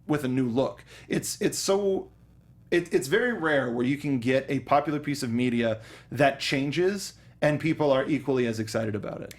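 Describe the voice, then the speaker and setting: A man's voice: mid-range voice, moderate pace. A young man co-hosting a podcast in casual chat, enthusiastic and often stumbling over restarts as he talks about something he loves. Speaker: podcast co-host.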